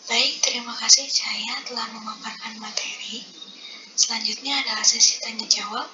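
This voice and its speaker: A woman's voice: fairly high pitch, fairly even intonation, moderate pace. A young woman speaking formally as the moderator of a student presentation. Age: young adult. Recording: clearly noisy recording.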